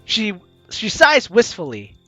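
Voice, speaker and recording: A video game host with an animated, teasing, exclamatory way of talking, reading aloud and commenting playfully. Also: mid-range voice, slow, faint music behind. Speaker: let's-play video host.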